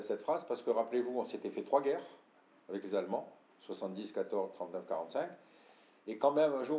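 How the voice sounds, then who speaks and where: An older man from France giving a talk to an audience, explaining and addressing listeners directly, often clearing his throat. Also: medium pitch, warm tone. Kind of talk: lecture with audience questions. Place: room with audience. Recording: echoey room.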